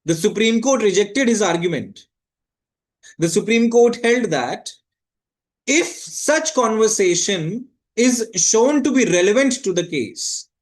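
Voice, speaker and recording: Male law lecturer, Indian accent, high-set voice, didactic delivery with repeated key phrases. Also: poor audio. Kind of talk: explanatory lecture.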